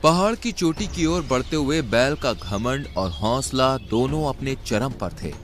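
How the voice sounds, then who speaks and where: Male narrator for a kids' animal cartoon; expressive, scripted storytelling over faint background music. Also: medium pitch, measured pace.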